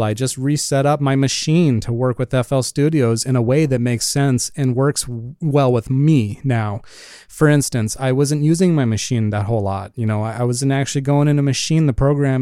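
A man's voice: mid-range voice, warm tone, clean tone, no echo. A man hosting a casual solo podcast from his home studio, rambling with many fillers. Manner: rambling, full of "you know" fillers. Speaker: podcast host and music producer. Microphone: studio condenser microphone.

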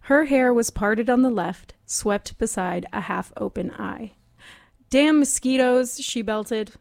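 A woman's voice: a little high, slow pace, creaky, a little mellow, dry recording, clean studio sound. A woman reading as a hard-boiled noir detective narrator in a melodramatic storytelling way. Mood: brooding, dramatic.

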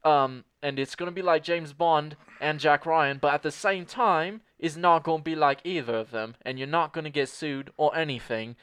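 A man in an improv comedy scene playing a cocky, clueless American who pitches a spy movie, rambling and brash and talking over people. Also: moderate pace, hardly any pauses, fairly bright tone, clear, resonant tone, no room sound, studio quality, close to an everyday voice.

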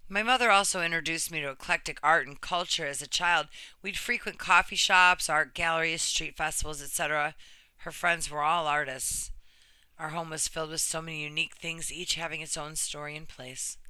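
A woman's voice: low-pitched, normal speed, bright, forceful tone, clear, resonant tone, no room sound. A woman reading a personal written story aloud in an earnest manner. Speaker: reader of another person's true story.

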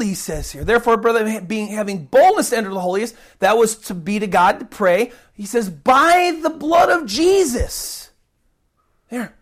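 Woman preaching with a cold-worn voice. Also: on the high side. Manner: emphatic, repeats key points, swings between loud stresses and soft drops. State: recovering from a cold, losing her voice. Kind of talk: sermon.

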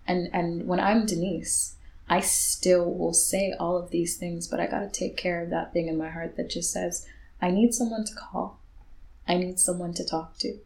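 Young woman, low flat voice, calm and thoughtful. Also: slight background noise, poor audio. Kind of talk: candid conversation.

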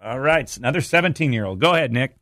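Lively male radio host. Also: mid-range voice, racing, dry recording. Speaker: radio morning show host. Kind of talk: casual live call-in banter.

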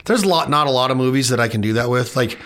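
A man in his late forties co-hosting a podcast, chatting casually and often jumping up in pitch when making a point. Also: expressive, fast talker, a bit bright. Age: 40s. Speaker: podcast co-host. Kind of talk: casual chat.

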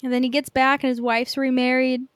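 A woman's voice: high voice, little pitch movement, a little fast, no echo. A young woman co-hosting a podcast, chatting casually about films in a rambling way full of filler "like". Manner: rambling, peppered with "like". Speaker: podcast co-host. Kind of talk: casual film review chat.

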